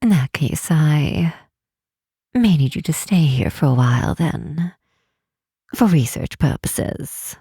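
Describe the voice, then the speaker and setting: A woman voicing a curious, teasing half-elf tower master in a fantasy ASMR roleplay, speaking softly in an unhurried, formal, old-fashioned way. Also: low-pitched, expressive, no room sound, clean studio sound, full character voice. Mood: pensive, curious. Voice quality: soft, breathy, husky.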